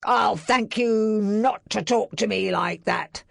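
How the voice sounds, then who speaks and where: A woman's voice: mid-range voice, slow pace, vocal fry, no echo, clean studio sound, pitched above the performer's own voice, full character voice. A woman voicing a haughty, sneering man who demands people leave his garden.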